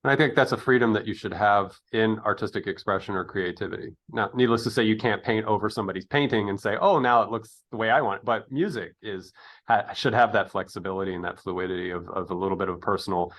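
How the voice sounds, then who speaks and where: A man hosting a casual interview podcast, speaking haltingly as he thinks aloud. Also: on the low side, fairly bright tone.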